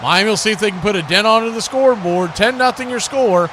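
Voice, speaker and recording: A man's voice: sits high, fast talker. A man doing live play-by-play commentary of an American football game as the announcer. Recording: loud background noise.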